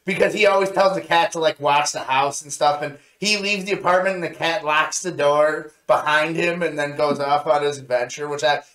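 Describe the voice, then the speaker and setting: A man's voice: a little high, steady tone, moderate pace, few pauses, fairly bright tone. A man chatting casually as co-host of a review show, recounting scenes in an enthusiastic, rambling way. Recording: echoey, roomy sound.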